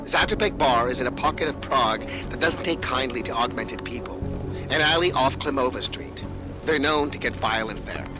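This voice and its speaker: An actor playing a snarky, self-important American tech expert in a video game, with a precise, sardonic delivery. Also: male voice, mid-range voice, moderate pace, lightly acted, poor audio. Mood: cautioning. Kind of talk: video game cutscene acting. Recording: loud music behind. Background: music.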